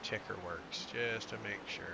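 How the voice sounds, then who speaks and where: A man's voice: fairly low pitch, normal speed, creaky, very noisy. A man with a stuffy, nasal voice from a cold, doing chatty play-by-play commentary for an online race broadcast and reading out lap times. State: congested, under the weather. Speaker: sim racing commentator.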